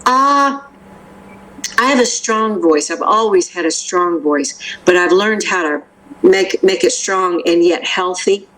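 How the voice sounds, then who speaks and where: Older woman, vocal coach, interview chat, fillers and restarts, somewhat low voice. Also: a little slow, a bit bright, low-quality recording. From Memphis area, US. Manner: chatty, rambling with many restarts and fillers. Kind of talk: casual interview talk.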